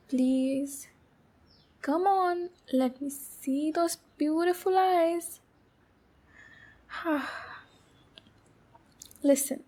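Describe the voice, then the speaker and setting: A woman's voice: sits high, a little mellow, full character voice. A woman voicing a shy, anxious, clingy demon captor in a roleplay ASMR, speaking in a hesitant, pleading and soothing way. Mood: tender, yearning. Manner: hesitant, halting, pleading, soothing with pet names. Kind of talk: roleplay ASMR, intimate acted monologue.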